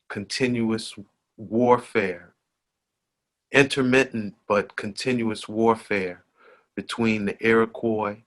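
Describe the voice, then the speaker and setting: A man hosting a conversation, walking through local history in an unhurried way, with frequent fillers. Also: on the low side, a bit bright. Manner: unhurried, with many fillers like "you know" and "uh". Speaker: host. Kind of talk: slow conversational history talk.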